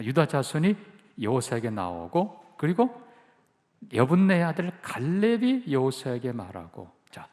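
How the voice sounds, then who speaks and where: A man's voice: mid-range voice, darkish tone, long reverb tail. A male pastor preaching a sermon, emphatic and putting questions to the congregation. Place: church sanctuary.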